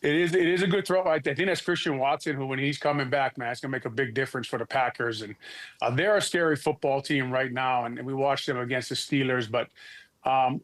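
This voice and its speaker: A middle-aged man from Hawaii, a former football player, talking in a relaxed, halting way as an interview guest. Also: mid-range voice. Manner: easygoing, halting, many restarts. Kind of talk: casual interview talk.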